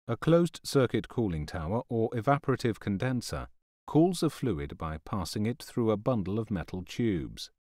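A man reading scripted narration for a training film in a measured, instructional manner. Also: lowish voice, darkish tone, no room sound.

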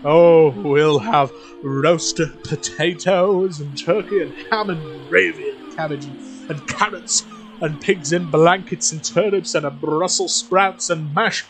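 Giddy old uncle, high-pitched. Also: male voice, takes it slowly, soft background music, poor audio. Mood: giddy, generous. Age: older. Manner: rapturous, breathless listing and repeating. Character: giddy, generous old uncle, a reformed miser. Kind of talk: audio drama acting.

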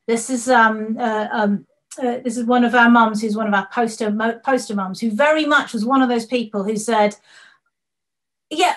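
Woman, slightly high voice, lively storytelling presenter on a video call. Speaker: panel speaker. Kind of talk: presentation over slides.

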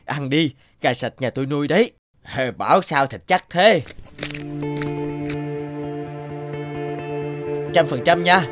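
A man's voice: a little high, moderate pace, music in the background, lightly acted. A man voicing a friendly middle-aged father and neighbour in a cartoon. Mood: festive. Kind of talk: animated cartoon dialogue.

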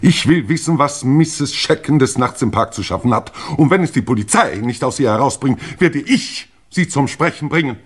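An actor playing an older, protective English lord and uncle in a 1950s German radio play, speaking formally and indignantly. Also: male voice, mid-range voice, lively intonation, moderate pace, few pauses, slightly rough. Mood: stern. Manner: formal, indignant, ornate phrasing.

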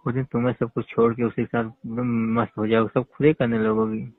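A man's voice: mid-range voice, flat delivery, fairly quick. A man on a phone call, talking casually and insistently, often pressing with repeated questions. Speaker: phone caller. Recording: tinny phone-line call recording.

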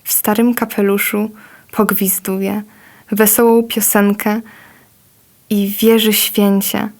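A woman reciting a poem in a slow, measured way. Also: medium pitch, level tone. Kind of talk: poetry recitation.

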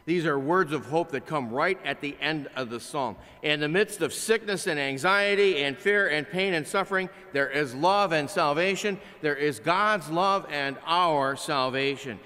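An older man preaching a conversational sermon from a pulpit in a large chapel, swinging between loud, animated lines and hushed ones, picked up by a pulpit mic. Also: on the high side, a little slow, few pauses. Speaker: pastor. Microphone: pulpit microphone, filmed by a far camera. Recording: long chapel reverb.

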